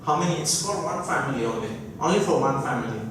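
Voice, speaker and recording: A man's voice: medium pitch, expressive, fast, noisy background. A young man from India with an Indian accent, a native Odia speaker, giving an informal classroom talk and coaxing the class with questions. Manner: chatty, coaxes the class with questions. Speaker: guest lecturer. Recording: echoey classroom from far off.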